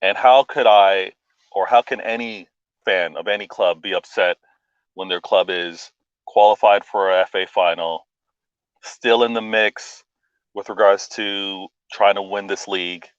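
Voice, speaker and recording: A man with a fairly low voice talks football as a guest on a live stream, eager and casual, with frequent "um" pauses. His mic sounds dull and muffled, dry with no room echo. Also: fairly even intonation, clean tone. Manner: enthusiastic, fills pauses with "um". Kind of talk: casual chat.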